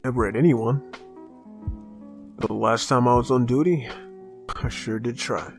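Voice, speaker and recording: An actor playing a steady, friendly small-town cop among survivors in a comic dub. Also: male voice, medium pitch, unhurried, close to an everyday voice. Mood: reflective. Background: background music.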